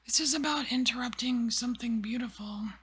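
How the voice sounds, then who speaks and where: A woman giving a whispered tarot reading, rambling softly with many fillers. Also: fairly high pitch, fairly quick. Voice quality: breathy. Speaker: tarot reader.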